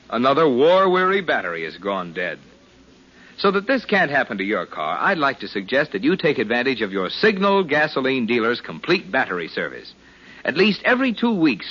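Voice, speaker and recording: American male vintage radio pitchman, highish, forceful and animated, salesmanlike; old dull recording. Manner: polished, salesmanlike. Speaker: radio announcer. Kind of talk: 1940s radio sponsor announcing. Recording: muffled vintage 1940s radio broadcast.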